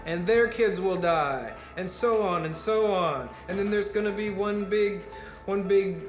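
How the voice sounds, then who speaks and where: A man's voice: mid-range voice, unhurried, gravelly, a bit bright. An actor playing a rambling, fatalistic party guest who holds forth philosophically in a naturalistic film monologue, building his argument step by step in a conversational lecture. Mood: fervent, fatalistic. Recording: roomy film dialogue with music behind. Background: music.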